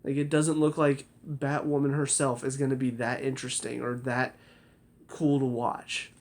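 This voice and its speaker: Male reviewer, medium pitch, chatty and critical, trails and restarts lines. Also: flat delivery, moderate pace, darkish tone. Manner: critical, rambling, restarts sentences. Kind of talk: casual spoken review.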